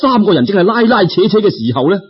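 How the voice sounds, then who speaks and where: A man's voice: sits high, sing-song, fast talker, breathy edge. A man telling a folk tale in Cantonese, colloquial and dramatic, peppered with exclamations and sound words. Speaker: storyteller. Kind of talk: animated folk-tale narration. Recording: slightly dull, lo-fi audio.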